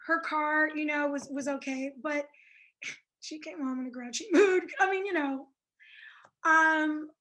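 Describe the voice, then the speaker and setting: A woman's voice: high voice, unhurried, bright, forceful tone. A woman whose first languages are French and Italian, chatting shyly as a psychic guest on an interview show, with many fillers and hesitations. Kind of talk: casual interview chat.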